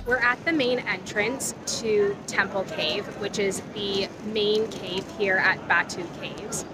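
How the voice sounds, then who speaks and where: A woman in her thirties talking to a handheld camera outdoors at a cave temple, earnest and deliberate as she lists details. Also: mid-range voice, fairly even intonation, loud music behind the voice, low-quality recording. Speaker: travel vlogger. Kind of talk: casual travel vlog talk to camera. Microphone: handheld camera selfie framing. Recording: outdoor noise behind.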